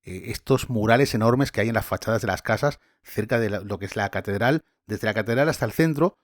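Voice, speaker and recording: Low-voiced male tour guide host. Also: brisk, dry recording, studio quality. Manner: chatty, asks guest questions. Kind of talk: casual travel chat.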